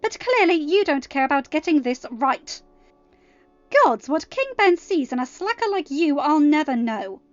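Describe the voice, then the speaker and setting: A woman plays a snooty female handler from a fantasy audio story, high and huffy. She speaks with prim condescension, like one used to scolding. The audio is a little dull, with music behind it. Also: sing-song, moderate pace, dry recording. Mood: scornful scolding.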